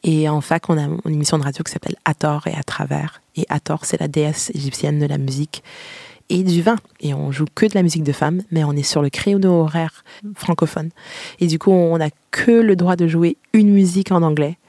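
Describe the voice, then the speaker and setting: A woman's voice: low voice, fairly even intonation, fairly quick, creaky, mellow, dry recording, studio quality. A Québécois French woman in her thirties, a singer-songwriter casually recounting memories, chatty and laughing often. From Quebec, Canada.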